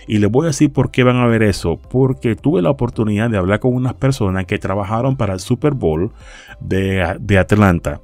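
A man's voice: lowish voice, darkish tone. A man hosting a casual vlog, chatty and friendly, calling his viewers friends and often restarting phrases. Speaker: YouTube host giving rideshare and delivery tips. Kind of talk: casual informative vlog talk over stock footage. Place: lives in South Florida.

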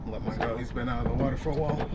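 A man chatting casually and excitably as he fishes outdoors from a boat, using slangy exclamations. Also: medium pitch, racing. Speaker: angler in a fishing video. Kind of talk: casual fishing chat. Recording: muffled audio, loud wind and boat noise.

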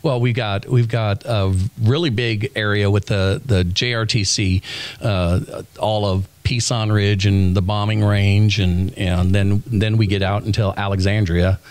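A man's voice: lowish voice, fairly even intonation, measured pace, a little mellow, clear, resonant tone. A middle-aged American man hosting a casual interview, chatty and joking as he rambles along. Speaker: podcast host. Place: small indoor podcast studio. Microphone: close desk microphone.

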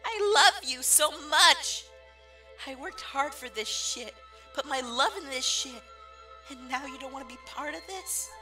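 A woman voicing an excitable, bratty little cartoon demon in a comic dub, with exasperated, dramatic delivery. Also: sits high, measured pace, music in the background, poor audio. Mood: hurt, pleading.

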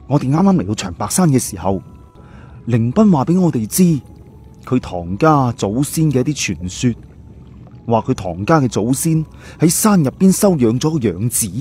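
A man's voice: mid-range voice, warm tone, a little hiss or hum, close to an everyday voice. A man voicing an irritable, analytical young man in an audiobook, explaining things in an emphatic, colloquial way. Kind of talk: audiobook character dialogue.

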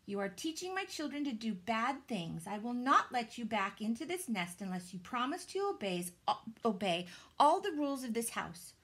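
A woman's voice: a little high, big pitch swings, moderate pace, fairly bright tone. A woman voicing a stern mother bird from a storybook, scolding and admonishing.

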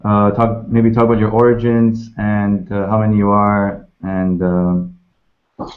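Low-voiced man hosting a video call. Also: slow. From Canada. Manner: relaxed, hesitant with fillers. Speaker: interviewer, host. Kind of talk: casual video-call interview. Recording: muffled video-call audio.

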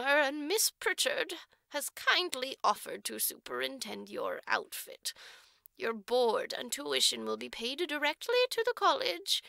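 A stern orphanage matron in an audiobook story, acted out by a woman, pompous and officious. Her voice is very high and bright, with emphatic, formal oratory in a dry recording. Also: vocal fry, no echo, full character voice.

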